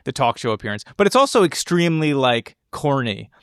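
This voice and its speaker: A man's voice: medium pitch, sing-song, fairly quick, fairly bright tone, clear, resonant tone, no echo, studio quality. A man co-hosting a film podcast in casual chat, enthusiastic and rambling in a stop-start way. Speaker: podcast co-host.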